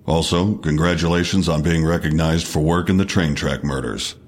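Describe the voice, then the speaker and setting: An unflappable male detective, with an extremely deep voice. He speaks in a measured, official way, probing steadily as in an audio drama interrogation. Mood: formal, controlled.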